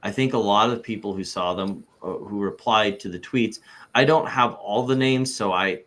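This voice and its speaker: A man reading the part of an evasive businessman witness in an amateur deposition read-through, halting and repeating himself. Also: fairly low pitch, fairly bright tone, close to an everyday voice. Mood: defensive, evasive.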